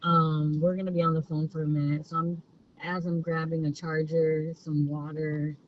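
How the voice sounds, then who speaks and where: A woman's voice: low voice, level tone, moderate pace. Middle-aged female phone guest, casual and conversational, lo-fi muffled line with faint noise. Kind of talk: casual chat. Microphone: phone call. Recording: dull noisy phone call.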